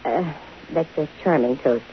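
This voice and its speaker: A woman's voice: deep voice, measured pace. An actress playing a wisecracking, self-assured female private detective with dry, sardonic wit and an American accent, in 1950s radio drama style. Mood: wry, coolly flirtatious. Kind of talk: 1950s American radio drama acting with first-person narration. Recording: muffled 1950 radio broadcast, hissy.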